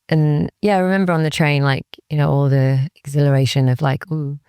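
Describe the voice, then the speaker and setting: Candid woman from Wales, creaky low-key delivery. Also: fairly even intonation, moderate pace, mellow, no room sound, clean studio sound. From Cardiff, Wales. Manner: candid, serious, peppered with "like" and quoted inner thoughts. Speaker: interview guest, music industry executive. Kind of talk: reflective personal interview conversation.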